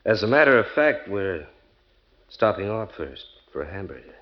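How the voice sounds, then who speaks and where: An actor playing a husband who shifts between amusement and menace in an old-time radio drama, speaking in clipped, insistent phrases. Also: male voice, fairly low pitch, very animated, moderate pace. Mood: quiet menace. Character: Ernie, husband, by turns amused and quietly menacing. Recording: dull old radio recording.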